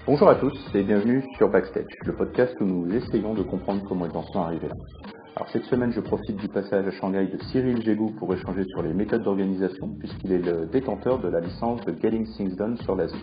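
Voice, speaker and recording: Low-voiced host over faint music. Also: male voice, dull audio. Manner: measured delivery with frequent hesitations. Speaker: podcast host. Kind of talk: recorded podcast intro.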